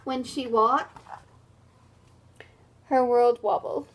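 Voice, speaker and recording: A woman reading a picture book aloud as a teacher, pausing for halting, simple explanatory asides. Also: a little high, fairly even intonation.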